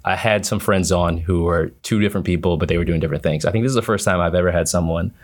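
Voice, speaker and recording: Fast-talking, low-voiced man hosting a podcast. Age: 30s. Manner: informal, slangy. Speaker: podcast host. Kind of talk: casual podcast chat.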